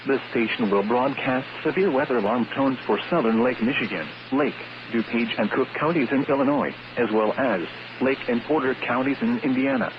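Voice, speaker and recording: A man reads a scripted emergency weather bulletin in a formal, measured, synthetic delivery. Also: mid-range voice. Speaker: weather radio announcer. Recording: dull, noisy weather radio broadcast.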